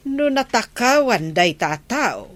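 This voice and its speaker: A woman's voice: fairly high pitch, sing-song, slow pace. A woman playing a practical, chiding village woman in an Ilocano radio comedy drama.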